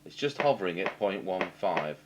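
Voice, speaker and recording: A middle-aged man giving an unscripted technical demonstration as a radio engineer, haltingly thinking aloud. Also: low voice, sing-song, highs cut off. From England. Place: indoor workbench, voice off camera. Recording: noticeable background noise with hum.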